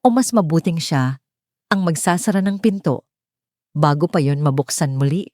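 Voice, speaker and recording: A woman's voice: deep voice, moderate pace, darkish tone, no echo, studio quality. A woman with a Filipino accent reading scripted, dramatic narration as a storyteller.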